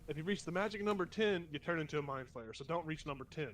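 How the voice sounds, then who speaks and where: A man's voice: a little high, quick pace, crowd noise, poor audio. Sniffly young male game master. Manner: relaxed, explains rules step by step with fillers. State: sniffly, coming down with a cold. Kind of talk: running a tabletop game.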